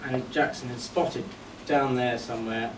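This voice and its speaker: Male eulogy speaker, anecdotal and measured with deliberate pauses; mid-pitched, recorded from afar in a noisy, echoing room. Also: steady tone. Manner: storytelling in short phrases with deliberate pauses. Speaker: friend giving a memorial tribute. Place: golf club function venue at a memorial service. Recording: echoey distant mic with background noise.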